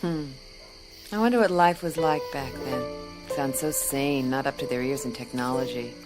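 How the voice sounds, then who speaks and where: A cool, tough female detective talks in a low voice with wry confidence. It is radio play acting, with music running behind her. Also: moderate pace, low-quality recording. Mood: wry, probing.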